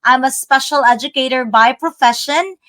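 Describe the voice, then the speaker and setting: A woman's voice: high-pitched, normal speed, sharp tone. Chatty woman from the Philippines. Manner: chatty, earnest, laughs easily. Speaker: digital business coach. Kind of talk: casual live-stream chat.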